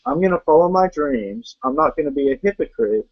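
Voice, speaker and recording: A man's voice: a little high, very animated, brisk, gravelly, dry recording. A young man giving an earnest motivational talk and driving his points home with rhetorical questions. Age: young adult. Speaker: network marketing guest. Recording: dull muffled sound.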